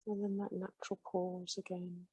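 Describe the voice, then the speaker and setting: A woman leading a guided meditation with slow, soothing, gentle instructions. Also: fairly low pitch, completely flat delivery, breathy edge, no echo.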